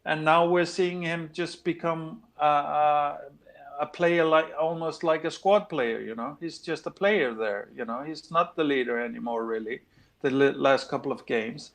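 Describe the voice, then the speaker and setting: Gravelly man musing hesitantly on a call. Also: fairly high pitch, moderate pace, fairly bright tone. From Denmark. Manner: hesitant, lots of fillers and restarts. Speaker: fan pundit. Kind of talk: casual chat on a video call.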